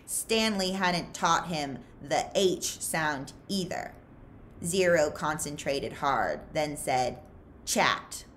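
A woman in her thirties reading a children's novel aloud in an expressive, deliberate storytelling style. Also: sits low, slight background noise. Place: small furnished room indoors.

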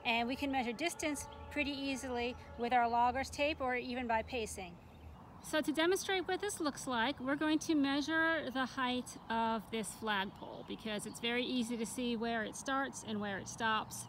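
A middle-aged woman with an American accent and a high voice explains things in a plain, informal teaching style. She is recorded outdoors on a camera microphone, with faint ambient noise behind her. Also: moderate pace. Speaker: extension forester, instructor. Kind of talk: informal instructional demonstration. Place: outdoors in a grassy, tree-lined park.

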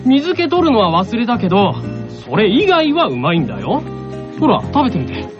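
A woman's voice: a little high, huge pitch swings, moderate pace. A woman playing an easygoing young male apprentice cook in a radio drama, casual and boyish. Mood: bantering. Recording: dull radio recording with music.

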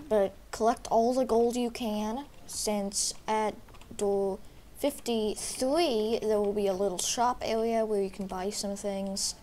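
Slow, rambling young gamer girl, sick. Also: medium pitch, a little mellow, slight background noise. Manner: rambling, filler-heavy, hesitant. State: sick, voice a little rough. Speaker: gaming YouTuber. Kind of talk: casual gameplay walkthrough.

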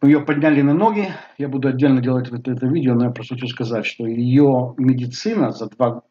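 A man in his sixties with a Bulgarian accent, talking casually to camera at home, halting with many fillers. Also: mid-range voice, slight background noise. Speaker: vlogger. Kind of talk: casual personal talk to camera. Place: living room at home. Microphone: webcam at desk. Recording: slightly dull webcam audio.

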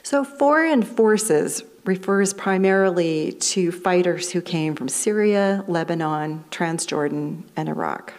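A woman in her fifties with an American accent, a minister giving a sermon at a lectern microphone in a fellowship hall, explaining history in a measured way. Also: fairly low pitch, a little mellow. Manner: measured, explanatory delivery reading from notes.

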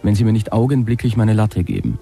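A man giving a deadpan comic reading, with some casual chat around it. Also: on the low side, fast talker, faint music behind. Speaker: comedian reading his own comic story.

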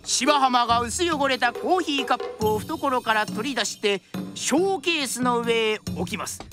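A woman's voice: a little high, lively intonation, moderate pace, music in the background. A woman narrating a drama from a script in a theatrical, traditional storyteller's style.

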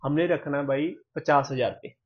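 Husky young man chiming in. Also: a little high, normal speed, dull audio. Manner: chimes in briefly, finishes the host's sentences. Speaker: co-host. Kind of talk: casual chat. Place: small room at home, indoors.

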